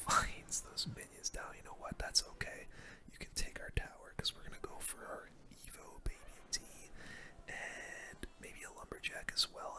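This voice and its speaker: A gamer giving ASMR game commentary in a breathy, unvoiced whisper, with casual slang. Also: high voice, bright, forceful tone. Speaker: gaming video creator.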